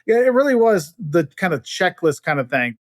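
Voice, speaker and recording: A man's voice: high-pitched, normal speed, no echo, studio quality. A middle-aged American man chatting casually as an interview guest, telling stories and acting out bits of dialogue. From Illinois, United States. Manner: animated storytelling, acting out quoted dialogue. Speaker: guest, travel expert. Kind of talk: casual interview chat with anecdotes.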